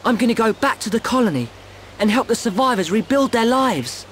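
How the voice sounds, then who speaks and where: A modest, plucky boy in a fantasy game, high-pitched and lively in intonation. Faint ambience is behind him. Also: fairly quick, a little hiss or hum, exaggerated voice. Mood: resolved. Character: plucky, modest young boy eager to help. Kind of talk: video game cutscene acting.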